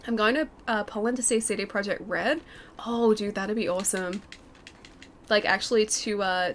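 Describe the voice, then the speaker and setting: A woman's voice: a little high, fairly bright tone, slight background noise. A woman in her twenties giving casual live game commentary and chatting with viewers in a playful, giggly way. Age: twenty-eight. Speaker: streamer. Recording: game music behind the voice. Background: video game music.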